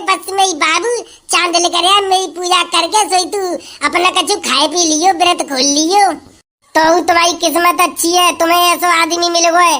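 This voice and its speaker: A woman's voice: very high-pitched, sharp tone. A woman voicing a hungry, fed-up village wife who grumbles and scolds in a comic sketch. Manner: complaining, scolding, rustic chatter.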